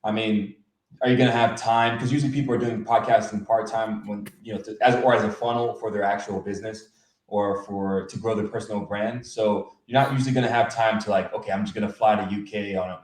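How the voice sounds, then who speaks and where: Low-voiced man host, echoey and distant. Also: steady tone, a little fast. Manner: soft backchannels, thoughtful questions. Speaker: podcast host. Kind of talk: casual interview talk. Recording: roomy, distant mic.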